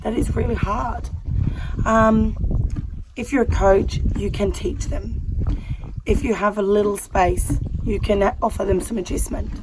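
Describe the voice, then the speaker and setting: A hoarse Australian woman talks openly with plenty of fillers, her worn-out voice nearly gone. She sits on the ground outdoors in a paddock, with faint noise around her. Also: medium pitch. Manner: candid and heartfelt, with many fillers. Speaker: horse rider and vlogger. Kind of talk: casual vlog talk to camera.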